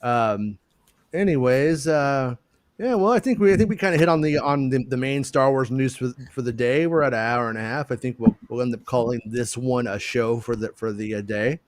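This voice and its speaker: A man's voice: medium pitch, normal speed, no room sound. Stammering man hosting a casual online chat. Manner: stammering, repeats words, self-deprecating jokes. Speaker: podcast host.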